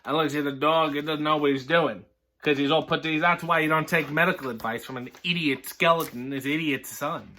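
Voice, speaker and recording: A man reading a children's picture book aloud, breaking off for sardonic, deadpan comic asides. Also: on the high side, a little fast.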